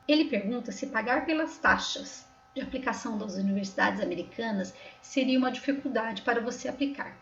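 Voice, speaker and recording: Woman, Brazilian São Paulo accent, high voice, patient tutorial explainer, roomy slightly muffled sound. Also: a little hiss or hum. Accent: Brazilian Portuguese, São Paulo. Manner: patient and explanatory, often checking in with the viewer. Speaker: tutorial host. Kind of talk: step-by-step screen tutorial voice-over. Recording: roomy, slightly dull recording.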